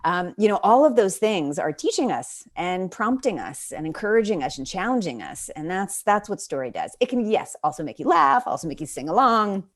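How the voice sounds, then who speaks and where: A woman's voice: medium pitch, sing-song, fairly quick, hardly any pauses. An American woman speaking as an interview guest, reflecting earnestly and thoughtfully on her work and building emphatic lists as she goes.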